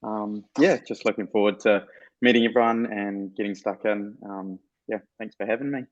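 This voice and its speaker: A man's voice: on the low side, fairly even intonation, normal speed, lo-fi sound. A man casually introducing himself as a lead dentist. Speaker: course participant, lead dentist.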